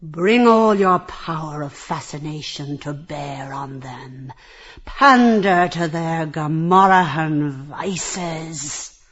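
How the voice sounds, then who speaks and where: A man's voice: on the high side, slow pace, fairly bright tone, highs cut off, voice pushed up. A man voicing a cruel, jeering brothel boss who barks and taunts like an auctioneer in a theatrical audiobook. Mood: sly, commanding. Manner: taunting, bullying, theatrical barking. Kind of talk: theatrical audiobook character reading.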